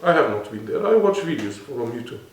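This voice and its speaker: A man's voice: mid-range voice, sing-song, brisk. A man with an Arabic accent, speaking non-native English and explaining earnestly as a guest in a serious interview. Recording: echoey room, mic at a distance.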